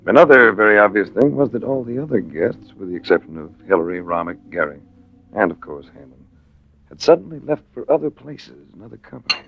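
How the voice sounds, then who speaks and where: A man's voice: on the low side, very animated, a little fast, creaky, highs cut off, background noise. A man playing a cool, wry American adventurer-investigator in 1940s radio drama style, speaking in a clipped, dry, hardboiled way. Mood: grim narrating. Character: cool, wry American male adventurer-investigator, narrating his own case. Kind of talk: 1940s radio drama acting with first-person narration.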